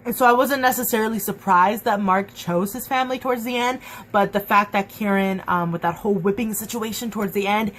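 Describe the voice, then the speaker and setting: A young woman chatting excitedly in a casual book review vlog, full of fillers and restarts. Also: mid-range voice, brisk, a little hiss or hum. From Mexican and Cuban heritage.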